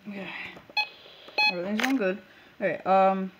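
A woman's voice: mid-range voice, moderate pace, heavy background noise. A woman narrating a casual hobby demonstration in an offhand way, half talking to herself, on a handheld camera in a room at home. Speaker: video maker. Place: small room at home, indoors. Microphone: handheld camera mic.

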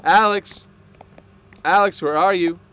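An actor playing a grave, concerned male scientist in an audio drama, speaking in a measured, formal way. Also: high voice, fairly bright tone, clean tone, rough recording. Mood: urgent, alarmed. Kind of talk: audio drama acting, opening with a dictated log.

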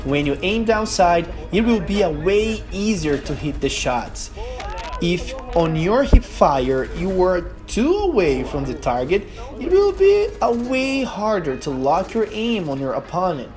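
Brazilian man, lively tutorial voice-over. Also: high-pitched, unhurried, soft background music. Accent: Brazilian Portuguese. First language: Portuguese. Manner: earnest, emphatic, non-native phrasing. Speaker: gaming youtuber.

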